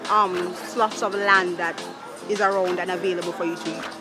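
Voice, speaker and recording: A woman answering calmly and thoughtfully in a street interview. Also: lowish voice, normal speed, over music, a crowd in the background. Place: street outdoors.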